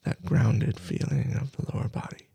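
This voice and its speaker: A man leading a guided meditation, soft-spoken, in short halting phrases with long pauses. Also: no room sound. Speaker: meditation teacher.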